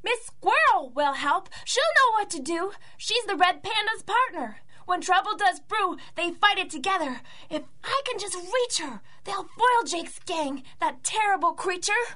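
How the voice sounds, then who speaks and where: A woman's voice: very high voice, expressive, measured pace, hardly any pauses, bright, forceful tone, exaggerated voice. A woman playing an earnest, anxious young boy in a rhymed radio drama, with a plaintive manner. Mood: anxious hope. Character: earnest, anxious young orphan-minded boy seeking heroes.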